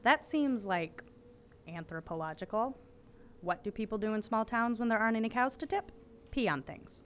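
A woman's voice: a little high, normal speed, fairly bright tone, slight background noise, natural voice. A woman playing a bookish, curious teenage investigator in an audio drama, precise, wry and matter-of-fact. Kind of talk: naturalistic audio drama acting.

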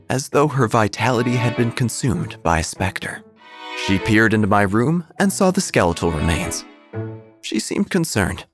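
Male narrator: deadpan, lively pitch, music bed under. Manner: dry, deadpan delivery. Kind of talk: scripted comic narration.

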